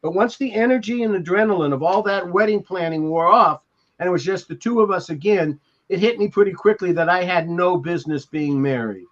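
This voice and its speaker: Dull-sounding older American man, higher-pitched voice. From Beverly Hills, California. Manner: halting, reflective, anecdotal. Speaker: podcast co-host. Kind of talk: discussing and reading from a memoir. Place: indoors at home, on a video call. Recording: dull lo-fi video call audio.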